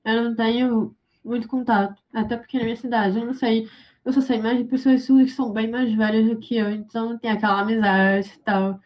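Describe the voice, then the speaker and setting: Young Brazilian woman, hesitant and fairly high. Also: little pitch movement, brisk, a little mellow, low-quality recording. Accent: Brazilian Portuguese. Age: young adult. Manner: hesitant, frequent fillers and restarts. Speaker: interviewee, university student. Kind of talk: conversational interview answers.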